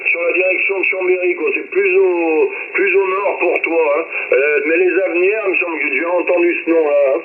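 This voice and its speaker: A middle-aged man chatting casually and hesitantly over a two-way radio as an amateur operator. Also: sits high. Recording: tinny, noisy two-way radio transmission.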